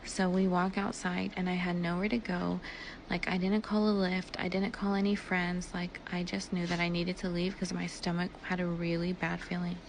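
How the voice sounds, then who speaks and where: A woman's voice: fairly low pitch, little pitch movement, normal speed. A woman telling a personal story casually and conversationally, peppered with filler words and retold dialogue. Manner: filler-heavy, conversational, quotes dialogue as she retells. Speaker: speaker recounting personal events. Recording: noisy ambient background, amateur voice clip.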